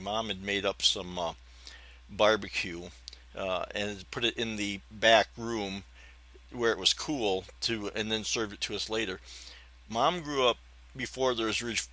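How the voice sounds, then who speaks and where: American male podcast storyteller, around fifty, lowish bright voice, slight whistly lisp, folksy and hesitant. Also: creaky, low-quality recording. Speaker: podcast host. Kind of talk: reminiscing personal storytelling.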